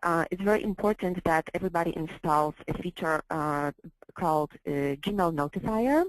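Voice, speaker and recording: A woman working as a software trainer, walking through slides in a deliberate, somewhat hesitant way and repeating phrases as she explains. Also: on the low side, no echo, low-quality recording. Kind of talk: slide-based training walkthrough.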